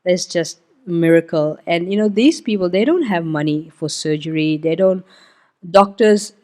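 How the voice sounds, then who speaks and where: A woman's voice: lowish voice, moderate pace, mellow. A woman whose first language is Hindi, speaking as a missionary guest speaker at a church service. She tells her story informally and talks through a map with frequent "um"s and "uh"s. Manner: informal, explains while pointing at a map, frequent "um" and "uh". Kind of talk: conversational testimony talk.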